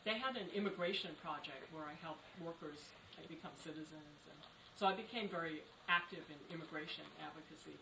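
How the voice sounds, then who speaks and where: A distant, echoey recording of a live panel carries a woman in her sixties. She talks in a lowish voice, recounting experience as a labor organizer to an audience. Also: brisk, few pauses, pushed and bright. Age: 60s. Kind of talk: personal account to a panel audience. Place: roomy indoor public panel event. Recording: echoey, distant, noisy live event stream.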